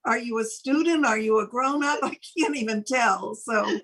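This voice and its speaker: A woman's voice: a little high, normal speed, a bit bright. An older woman speaking casually as the host of a talk show, interviewing a guest.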